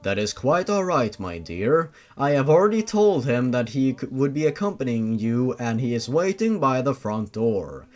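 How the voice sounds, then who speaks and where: A man voicing a calm, fatherly telepathic monster who speaks in a formal, gentle, courteous way for an audio story. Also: medium pitch, normal speed, hardly any pauses, voice pushed down, close to an everyday voice. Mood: matter-of-fact.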